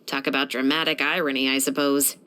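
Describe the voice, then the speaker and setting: A woman's voice: very deep voice, flat delivery, brisk, pushed and bright, clean tone. An actress playing a stern, dutiful young female patrol captain in a video game, speaking in a composed, authoritative and earnest manner. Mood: dry, wry. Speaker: video game character voice actor. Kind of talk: scripted video game character voice lines.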